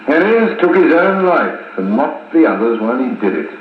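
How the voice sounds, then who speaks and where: A man acting a formal, deliberate, middle-aged colonel narrating grim events in a 1950s radio serial. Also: medium pitch, sing-song, narrow, tinny audio, natural voice. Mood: grave, solemn. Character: measured, authoritative British intelligence colonel recounting grim events. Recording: scratchy, echoing 1950s wire recording.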